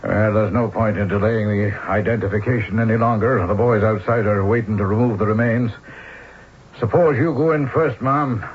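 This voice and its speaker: An actor playing a businesslike, plain-spoken police chief inspector in a vintage radio mystery, gruffly matter-of-fact and authoritative. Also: male voice, lowish voice, fairly even intonation, normal speed. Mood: official, inquiring. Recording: muffled, hissy old radio broadcast.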